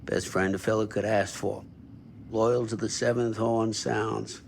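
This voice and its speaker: A man's voice: fairly low pitch, fairly even intonation, vocal fry, a little hiss or hum. An actor playing a folksy, defensive veteran night watchman in a video game, with an American accent and a rambling manner. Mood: folksy, easygoing. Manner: folksy, rambling, evasive drawn-out speech. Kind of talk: cinematic game dialogue.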